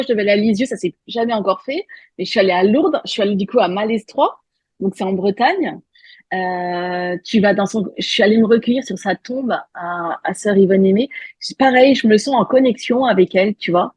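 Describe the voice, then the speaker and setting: A woman hosting a casual interview, interjecting quickly and reacting in an animated way. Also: medium pitch, breathy edge, dry recording. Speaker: medium, interview host.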